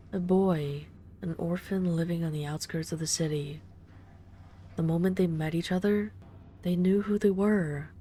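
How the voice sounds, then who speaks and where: A woman acts out an immortal vampire lady in an intimate audio drama. Her voice is low and velvety dark, soft and never rushed. She is tender and wistful, with the gentle condescension of someone used to giving orders. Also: close to an everyday voice. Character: ageless female vampire, boss's daughter, tender, wistful and commanding. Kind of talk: intimate scripted audio roleplay.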